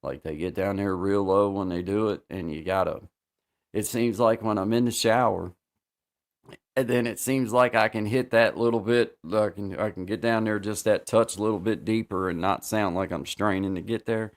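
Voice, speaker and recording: Gravelly low-voiced man chatting casually. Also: steady tone, moderate pace. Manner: rambling, repeats himself, many fillers. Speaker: livestream host. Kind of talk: casual livestream chat.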